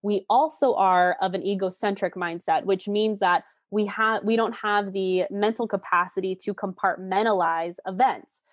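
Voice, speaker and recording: A woman's voice: medium pitch, fairly even intonation, moderate pace, few pauses, no echo. Young woman explaining things with candid enthusiasm, dry sound. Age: late 20s. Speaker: guest expert explaining relationship psychology. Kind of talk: animated conversational explanation.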